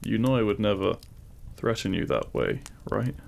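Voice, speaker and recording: An actor playing a demon king, a deliberate and intimate lover, speaking straight to the listener in a romantic audio roleplay. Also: lowish voice, sing-song, creaky, darkish tone, slight background noise, full character voice. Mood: tender, worried. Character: feared demon king, menacing in public, tender lover in private.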